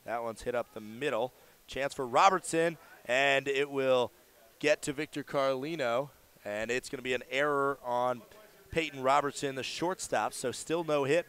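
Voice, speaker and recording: A man doing live radio play-by-play commentary of a baseball game, in a measured delivery that lifts for the action. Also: medium pitch, a bit bright, clean tone.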